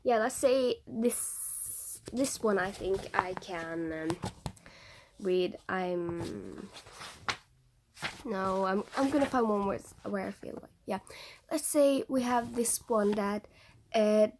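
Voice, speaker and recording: A young Norwegian woman talks casually in English, her second language, with a Norwegian accent. She reads passages from a book aloud, stumbling and restarting often. A faint hiss of noise sits under the voice. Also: medium pitch. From Norway. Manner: halting, many fillers and restarts. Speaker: vlogger.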